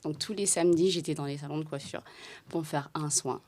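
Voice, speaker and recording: Low-voiced woman telling her story, laughing. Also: brisk. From Congo. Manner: animated storytelling, laughs often. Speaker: guest, hair care brand founder. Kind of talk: roundtable podcast chat.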